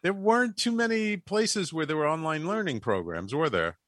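Guy hosting talk radio, animated up-and-down voice, curious, stumbles on words, dry close mic with faint music. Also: fairly high pitch, moderate pace, no echo. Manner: animated, curious, with many restarts. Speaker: radio show host. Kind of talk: radio interview.

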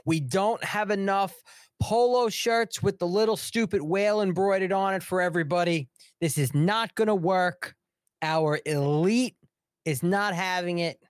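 A man's voice: sits high, smooth, no echo, studio quality, voice pushed up. A man with a New York accent voicing a pampered elite official in a whiny, pompous, mock-hysterical way during commentary.

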